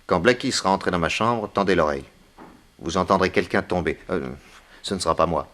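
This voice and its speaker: An actor playing a bold, wry detective with a brisk commanding manner in a 1960s French radio serial. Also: male voice, low voice, a bit bright. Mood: confident, scheming.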